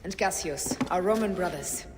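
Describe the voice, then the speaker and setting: An actress playing a hardened, determined woman warrior in a video game cutscene, controlled and low. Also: normal speed, noisy background, close to an everyday voice. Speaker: game character. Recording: game audio under music.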